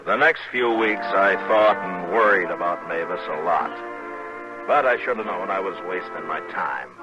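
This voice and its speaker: Gruff patient old west marshal, deep voice, dry and unhurried, muffled vintage radio. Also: male voice, expressive, over music. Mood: reflective. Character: laconic, patient frontier marshal, gruff but decent. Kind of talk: 1950s radio western acting and narration.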